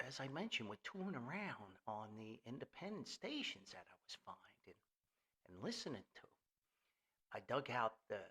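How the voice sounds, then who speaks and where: A man's voice: mid-range voice, bright, forceful tone, breathy edge. An older man hosting a casual, rambling podcast in a folksy, jokey manner. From Paterson, New Jersey, US. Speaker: podcast host.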